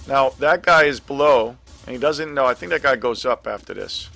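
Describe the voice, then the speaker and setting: A middle-aged man with a scratchy, gravelly voice, casually commenting on a game replay, hesitant with many ums and restarts. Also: medium pitch, moderate pace, bright, forceful tone, soft background music. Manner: hesitant, lots of ums and restarts, thinking aloud. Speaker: gaming commentator.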